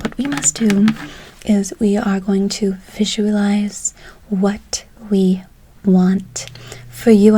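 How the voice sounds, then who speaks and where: A woman playing a gentle, doting witch in a whispered ASMR role play, speaking affectionately and unhurriedly, often repeating herself. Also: medium pitch, breathy edge, low-quality recording. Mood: gentle, encouraging. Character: gentle, doting female witch casting good-luck spells. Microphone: close ASMR microphone.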